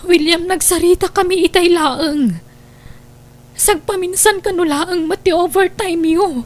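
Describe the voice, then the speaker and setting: A woman's voice: extremely high pitch, measured pace. A woman with an Ilocano Filipino accent acting a suspicious, hurt wife and mother in an audio drama. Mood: hurt, accusing.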